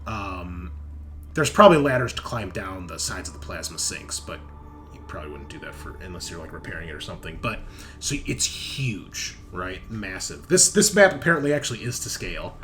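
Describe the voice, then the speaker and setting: A man running a tabletop game, narrating casually and informally, often halting as he thinks aloud. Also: low-pitched, lively intonation, a bit bright, faint music behind. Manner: halting, thinking aloud as map details are checked. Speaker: game master.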